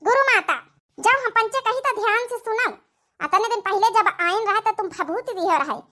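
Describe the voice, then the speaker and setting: Woman playing a sneaky young sister-in-law, Awadhi accent, very high sharp voice, wheedling chatter, cartoon comedy. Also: normal speed. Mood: pleading, scheming. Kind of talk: village comedy cartoon acting.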